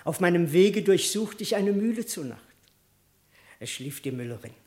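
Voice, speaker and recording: High-voiced, haughty tomcat. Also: male voice, lively intonation, normal speed, a little mellow. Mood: self-justifying. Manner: indignant, self-important. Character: haughty, angry tomcat courtier. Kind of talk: literary radio drama, verse declamation.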